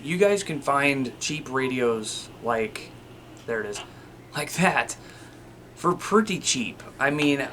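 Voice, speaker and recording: A man's voice: medium pitch, lively intonation, slow pace, sharp tone. Casual man explaining, noisy recording. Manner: informal, conversational, repeatedly addressing viewers as you guys. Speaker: video host. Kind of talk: casual instructional talk, demonstrating radio gear.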